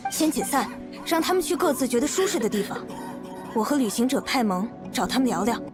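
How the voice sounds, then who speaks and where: High-voiced, decisive female leader. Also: very animated, a little fast, music in the background. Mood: resolute. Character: confident, decisive female leader rallying her people. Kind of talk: scripted anime game voice acting.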